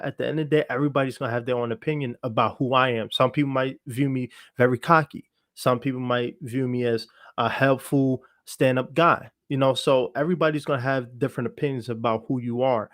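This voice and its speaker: A man's voice: mid-range voice, dry recording. Self-assured man, close dry sound. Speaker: podcast guest, actor and entertainer. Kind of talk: candid casual conversation, telling their own story. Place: online video call for a livestream podcast.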